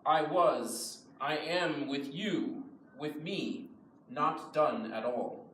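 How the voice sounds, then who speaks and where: A man reciting poems in translation at a live poetry reading, in a measured style. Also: on the high side, a bit bright. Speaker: reader of English translations. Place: live event in a reverberant room. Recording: echoey room, distant mic, faint noise.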